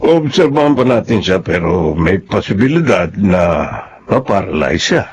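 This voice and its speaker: Husky, serious doctor. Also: male voice, sits low, very animated, measured pace, a little mellow, full character voice. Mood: grave. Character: male doctor, serious, questioning, informative. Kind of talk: Filipino audio drama acting.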